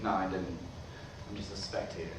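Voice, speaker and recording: A man's voice: sits low, level tone, fairly quick, close to an everyday voice. A man playing a dying, middle-aged former professor, dry and argumentative with his brother, in a staged play reading. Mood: wry, guarded. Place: staged reading filmed in a roomy venue. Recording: echoey room, far mic, noisy.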